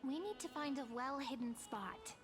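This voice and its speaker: High-voiced, wistful young heroine played by a woman. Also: moderate pace, lightly acted. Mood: calm, earnest. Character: gentle young heroine, wistful, playful and earnest. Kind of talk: video game story voice acting. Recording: game music behind the voice.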